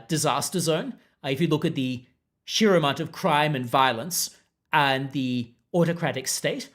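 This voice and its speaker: A man with a mixed international accent, hosting a podcast and answering viewer comments in a measured, unruffled way. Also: on the high side, fairly bright tone. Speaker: podcast host.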